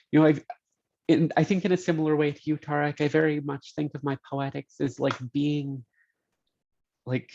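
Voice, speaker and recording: A poet speaking reflectively on a video-call panel in a measured, articulate, thoughtful manner. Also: medium pitch, a little mellow. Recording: slightly dull video call audio.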